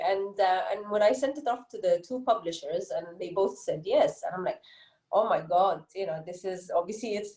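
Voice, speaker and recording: A woman's voice: medium pitch, warm tone. A woman with an Egyptian accent giving conversational interview answers as an author, telling stories in an earnest, reflective way. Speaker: interviewed children's book author. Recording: roomy, echoey sound.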